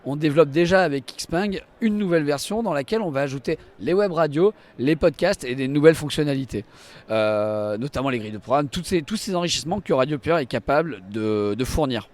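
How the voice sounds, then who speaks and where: A man with a fairly high voice walks through a product demonstration at a trade show. He explains each feature with enthusiasm, speaking as a representative of the company behind it. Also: lively intonation, fairly quick, a little mellow. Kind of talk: live walk-through product demonstration to camera. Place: trade show stand and inside a car.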